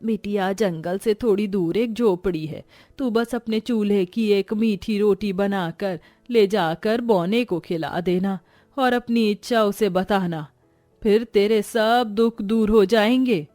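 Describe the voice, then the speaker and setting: A woman's voice: mid-range voice, slow pace, mellow, no echo, faint music behind, lightly acted. A woman voicing a kindly old village woman in a cartoon story, sympathetic and coaxing. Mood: helpful. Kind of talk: animated cartoon story acting.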